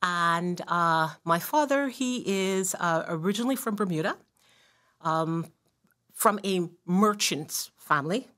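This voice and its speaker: A woman's voice: fairly low pitch, expressive, slow pace, fairly bright tone. Woman in her sixties telling family stories. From Montreal, Canada. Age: 60s. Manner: conversational storyteller, frequent "uh" and "right?". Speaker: guest. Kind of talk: casual interview. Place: community studio.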